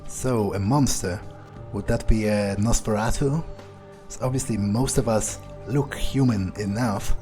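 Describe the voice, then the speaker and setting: A man playing a smug, cynical vampire in a game roleplay, thinking aloud in a halting, sardonic way. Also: fairly low pitch, warm tone, close to an everyday voice. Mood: commanding. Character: smug cynical male vampire, talking to himself. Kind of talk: in-character gameplay roleplay, improvised muttering. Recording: game music behind. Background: video game music.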